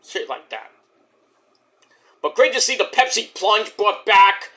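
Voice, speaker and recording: A man casually reviewing a wrestling show, rambling and swearing, with bursts of excitement, while losing his voice to a sore throat. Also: sits high, measured pace.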